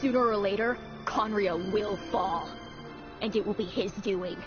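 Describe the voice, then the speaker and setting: An actress plays an embittered woman rebel from a fallen dynasty in a video game. She speaks with sardonic, fervent menace in a mid-pitched voice. The sound is slightly dull, with music clearly behind her. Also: measured pace, lightly acted. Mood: bitter, menacing. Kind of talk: dramatic video game voice acting. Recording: dull game audio under loud music.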